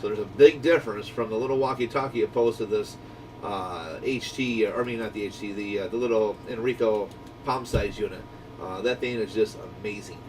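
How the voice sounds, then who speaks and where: A man's voice: on the low side, fairly quick, distant mic, background noise. A man hosting a radio group in a folksy, easygoing way, explaining things in casual instructional chat. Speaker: radio shop owner hosting a walkie-talkie group channel.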